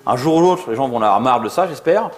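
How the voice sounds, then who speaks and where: A man's voice: medium pitch, lively intonation, fast. A man speaking casually and with self-deprecating slang as a conference speaker answering audience questions. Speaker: conference speaker, software developer. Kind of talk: informal conference Q&A.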